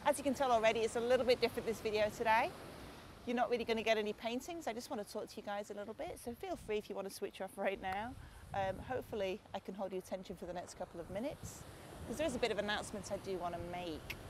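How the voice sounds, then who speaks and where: A woman's voice: mid-range voice, fast talker. Chatty woman with a Lancashire lilt. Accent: Lancashire English, softened. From Lancashire, England. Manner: chatty, warm thanks, calls viewers guys and mate. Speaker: art channel host. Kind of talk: casual talk to camera. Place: outdoors on a beach at sunset. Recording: noisy outdoor camera audio.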